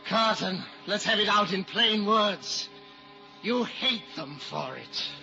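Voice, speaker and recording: An actor playing a morose, self-loathing English gentleman in an old-time radio drama, with a brooding, halting, theatrical delivery. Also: high-pitched, animated, vocal fry, soft background music, full character voice. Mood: bitter outburst. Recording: dull, noisy vintage radio recording.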